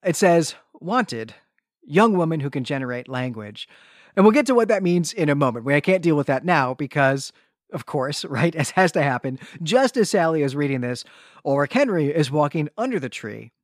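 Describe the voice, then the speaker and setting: A man co-hosting a podcast, retelling and discussing a story in a casual, animated way with a readiness to chuckle. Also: a little high, normal speed, dry recording, studio quality. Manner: animated storytelling, often chuckling through sentences. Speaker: podcast co-host. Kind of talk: casual conversational literary discussion and plot retelling.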